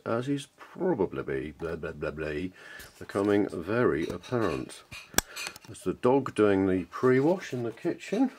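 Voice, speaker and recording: A British man giving a casual, unscripted how-to demonstration in a rambling, self-deprecating, chatty way. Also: low voice. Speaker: hobbyist maker presenting a workshop tutorial. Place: home workshop indoors. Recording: clear background noise and clicks in a workshop.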